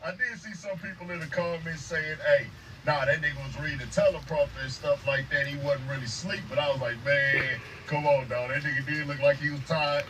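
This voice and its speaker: A man's voice: on the high side, fairly even intonation, brisk. A man giving casual, slangy commentary, hyping the audience with call-outs. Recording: distant voice over a loud crowd.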